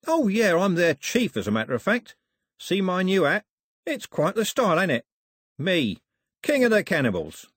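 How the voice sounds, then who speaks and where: A man's voice: high-pitched, wildly swooping pitch, normal speed, a bit bright, slightly rough, no echo, clean studio sound, pitched above the performer's own voice, full character voice. Man voicing a swaggering villain, swooping and jeering. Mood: gloating. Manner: theatrical, jeering, colloquial patter. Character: swaggering villainous sailor, mockingly cheerful murderer turned king.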